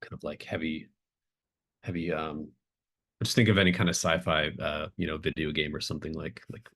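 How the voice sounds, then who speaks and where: A man with a very deep voice runs a tabletop game. He improvises the narration conversationally, feeling out each phrase as he goes and thinking aloud in a casual style. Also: flat delivery, fairly quick, a bit bright, breathy edge. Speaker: game master. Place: remote online group call.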